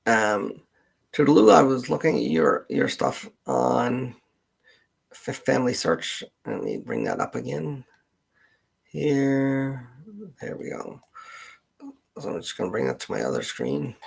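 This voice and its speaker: A man with a Canadian accent hosting a casual livestream chat, meandering and thinking aloud in a halting way. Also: medium pitch, wildly swooping pitch, vocal fry, breathy edge. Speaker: livestream host, genealogist.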